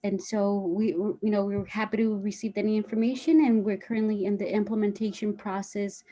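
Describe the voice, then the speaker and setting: A woman's voice: medium pitch, steady tone, brisk, warm tone, slight background noise, poor audio. A woman from a nonprofit introducing herself and her work on a video call, in a matter-of-fact way. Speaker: nonprofit staff. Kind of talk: self-introduction.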